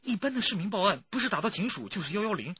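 一名男演员在广播剧里饰演一位热切自负、爱逗嘴、容易激动、说话带点戏剧腔的年轻刑警。. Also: male voice, sits high, rapid-fire, husky, exaggerated voice. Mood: curious, bantering. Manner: excitable, bantering, theatrical. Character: eager, cocky, playful young male police detective. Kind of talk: radio drama acting. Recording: dull, muffled audio.

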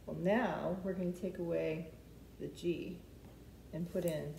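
A woman's voice: on the low side, steady tone, measured pace, vocal fry, warm tone. A woman in her forties hosting a storytime for preschoolers, explaining things simply and asking the children questions. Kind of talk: speaking to preschoolers, instructional. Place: living room with open kitchen at home. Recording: roomy echo, noticeable background noise.

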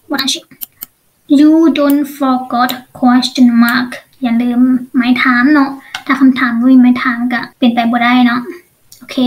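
High-pitched Lao woman teaching, very unhurried. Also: rough recording. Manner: explains step by step with frequent check questions. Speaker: English teacher. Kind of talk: online language lesson.

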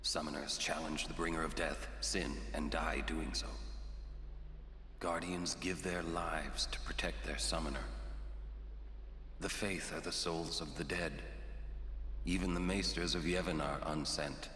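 A man voicing a grave, world-weary older warrior in a video game, slow and solemn. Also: very deep voice, fairly even intonation, pushed and bright, full character voice. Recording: echoey reverberant hall, faint background noise.

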